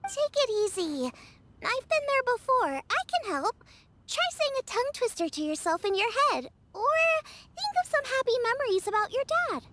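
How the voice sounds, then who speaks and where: A woman's voice: very high voice, very animated, moderate pace, fairly bright tone, a little hiss or hum, exaggerated voice. A woman voicing a cheerful, encouraging young girl chef in a video game, with music behind her. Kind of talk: English video game voice acting.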